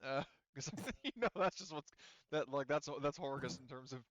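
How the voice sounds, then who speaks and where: A man's voice: medium pitch, expressive, normal speed, a bit bright, dry recording, muffled recording. A man working as game master, casually narrating a tabletop roleplaying game.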